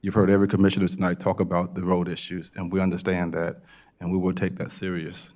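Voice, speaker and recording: An American man with a very low voice speaks as a commissioner at a public meeting. His delivery stays flat in pitch and halting, and he repeats phrases to drive them home. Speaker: town board commissioner. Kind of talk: public remarks at a town meeting.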